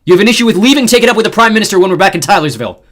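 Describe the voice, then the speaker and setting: A man's voice: on the high side, sing-song, fast talker, pushed and bright, voice pushed up, heavily acted. A man voicing a stern male squad leader who barks orders and growls commands. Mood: shouting.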